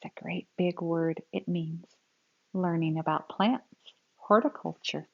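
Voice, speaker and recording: A woman reading a picture book aloud to young children in an expressive storytelling style, with simple explanatory asides. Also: lowish voice, a little slow, vocal fry, husky, muffled recording.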